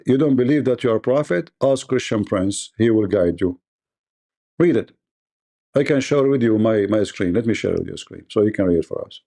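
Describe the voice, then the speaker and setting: Combative host with a mellow, dark voice. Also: male voice, medium pitch, creaky. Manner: combative, repetitive and quizzing. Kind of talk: live-stream debate.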